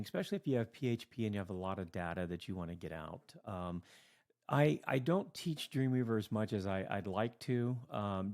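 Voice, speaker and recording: Tired, gravelly middle-aged man. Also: on the low side, a little mellow. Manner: rambling, many fillers. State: tired, yawning late at night. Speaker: teacher and video creator. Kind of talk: casual software walkthrough.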